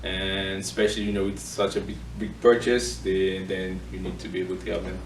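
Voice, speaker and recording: A man hosting an informal interview, speaking in a halting, unscripted way and repeating himself. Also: low voice, completely flat delivery. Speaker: interviewer, event host. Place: indoor room with small audience. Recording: echoey room, distant mic, faint noise.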